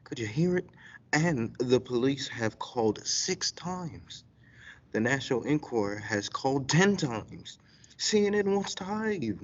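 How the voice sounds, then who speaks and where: Guy as hustling radio show promoter, husky, excitable, kinda lo-fi audio. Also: medium pitch, unhurried. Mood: gleeful. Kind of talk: comic stage radio-play acting. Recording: slightly dull lo-fi recording.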